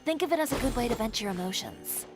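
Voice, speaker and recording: Actress as a cool, blunt young fashion designer. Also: female voice, fairly high pitch, lively intonation, quick pace, lightly acted. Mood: firm, determined. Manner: dry, composed, matter-of-fact. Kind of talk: anime game voice acting. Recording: game music underneath. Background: game background music.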